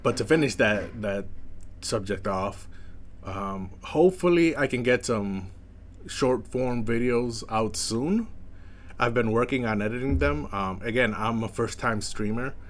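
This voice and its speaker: A young man with a slight lisp, chatting casually as a first-time streamer in a flat, monotone way with many fillers. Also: mid-range voice, unhurried, slight background noise. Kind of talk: casual chat.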